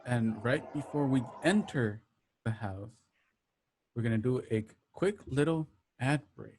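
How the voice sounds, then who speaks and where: A man's voice: mid-range voice, unhurried, a little mellow. A man hosting a show, delivering a scripted promotional read. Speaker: host reading a sponsor spot. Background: guitar music.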